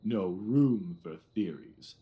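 A man's voice: on the low side, expressive, narrow, tinny audio. A man playing a pompous, self-satisfied middle-aged police detective in an audio drama, blustering and condescending with emphatic repetitions. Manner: blustering, condescending, repeats himself, emphatic pauses.

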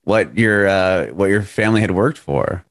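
Lively, informal male interviewer. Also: low voice, normal speed. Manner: appreciative, informal. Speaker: interviewer, host. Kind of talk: casual interview. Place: video call.

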